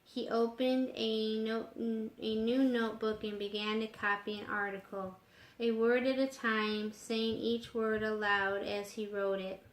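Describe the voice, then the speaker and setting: A woman reads a story for children in a slightly high voice. Her pace is very unhurried and her delivery expressive, like a read-aloud. The room adds a noticeable echo. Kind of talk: reading a children's novel aloud.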